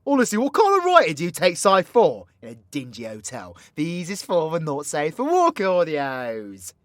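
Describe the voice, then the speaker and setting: A man's voice: a little high, wildly swooping pitch, normal speed, fairly bright tone, dry recording, studio quality. A British man playing a cocky, foul-mouthed, vengeful supervillain magician in an audio roleplay, with slangy, theatrical, teasing banter. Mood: mocking, exasperated. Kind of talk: ASMR-style audio roleplay, addressing an unheard companion.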